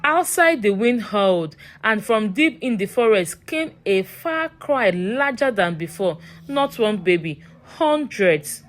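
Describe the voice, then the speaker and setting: A woman's voice: mid-range voice, lively intonation, slow, few pauses. A woman narrating a scripted horror story in a dramatic, suspenseful manner.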